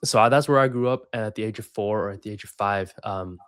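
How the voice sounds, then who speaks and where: A man's voice: fairly low pitch, quick pace, fairly bright tone, clean tone, dry recording, studio quality. A man in his twenties who grew up in Sri Lanka, a podcast guest telling his story casually, full of fillers and restarts. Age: 20s. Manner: rambling with many fillers and restarts.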